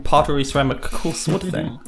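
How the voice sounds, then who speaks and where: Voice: mid-range voice, brisk, gravelly. A person with a New Zealand accent chatting playfully and dramatically as a player in a tabletop game. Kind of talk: casual game chat. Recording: music bed behind voice.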